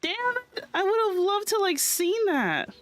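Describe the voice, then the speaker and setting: A woman game streamer giving casual, expressive reaction commentary and thinking aloud as she plays. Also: extremely high pitch, a little slow. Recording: game music behind.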